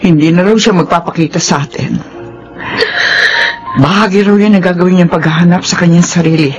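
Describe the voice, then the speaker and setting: A woman's voice: fairly low pitch, fairly quick, dull audio, natural voice. A woman playing a consoling, sorrowful mother of a grown daughter in a Filipino radio drama. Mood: sad consoling. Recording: music clearly underneath.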